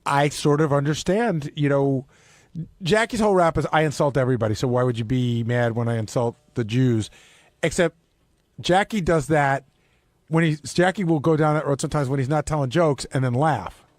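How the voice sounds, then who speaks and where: Higher-pitched man talking in a dry studio. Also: normal speed, no echo. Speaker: radio show co-host. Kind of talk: casual opinionated talk.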